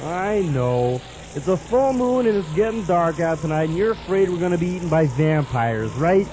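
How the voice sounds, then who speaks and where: A man's voice: on the high side, expressive, normal speed, darkish tone, slight background noise, lightly acted, rough recording. A man playing a naive, nervous young salesman in a comic radio play, with a hesitant, stammering manner. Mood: irritated.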